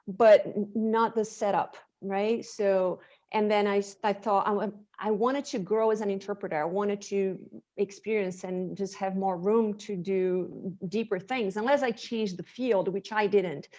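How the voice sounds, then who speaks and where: A chatty woman whose first language is Portuguese speaks as an interview guest over a video call. Her pitch sits in the middle, neither high nor low. She often stops and restarts her sentences, with small laughs in between. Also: steady tone, unhurried, mellow. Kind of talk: casual interview chat. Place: home with a lake view.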